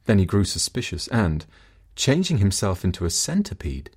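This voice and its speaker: A man's voice: deep voice, big pitch swings, moderate pace, husky. A man reading a fable aloud as a scripted storybook narration.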